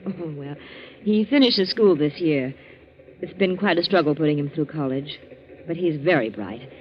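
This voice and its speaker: A woman's voice: deep voice, lively intonation, moderate pace. An actress playing a scheming, ambitious middle-aged mother in a theatrical, intense 1940s radio drama style. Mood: sweetly coaxing. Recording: muffled vintage 1940s radio recording.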